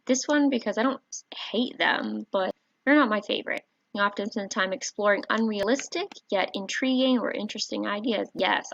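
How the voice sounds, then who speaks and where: A soft-spoken woman, a teacher, gently walking viewers through a website on screen, with a warm voice and frequent fillers. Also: mid-range voice, gravelly, no echo. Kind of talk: screen-recorded tutorial walkthrough. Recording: dry, slightly dull home recording.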